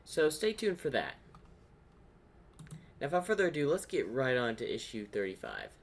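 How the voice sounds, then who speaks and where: A man hosting a reaction video, giving casual commentary and thinking aloud in a halting way. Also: mid-range voice, huge pitch swings, gravelly, a little hiss or hum.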